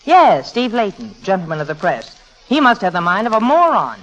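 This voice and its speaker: An actress playing a spirited young heiress, tart, poised and sardonic, in 1940s radio comedy style. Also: female voice, mid-range voice, very animated, normal speed, fairly bright tone, soft background music. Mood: scornful. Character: sharp-tongued, spirited young American heiress. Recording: muffled old radio recording.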